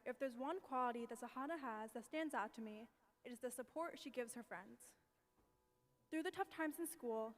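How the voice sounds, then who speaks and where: A young woman reading a steady, affectionate tribute speech about a school friend. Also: on the high side. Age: young adult. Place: event hall. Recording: long hall reverb.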